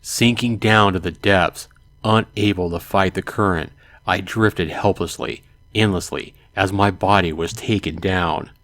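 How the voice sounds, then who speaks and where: A man hosting a let's play, reading a visual novel aloud in a dramatic way and adding hushed asides. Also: deep voice, unhurried, dry recording. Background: game music.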